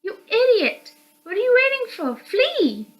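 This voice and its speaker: A woman's voice: extremely high pitch, very animated, unhurried, dull audio, faint music behind, pitched above the performer's own voice, exaggerated voice. A woman voicing a desperate young female rescuer in a visual novel, urgently pleading and scolding.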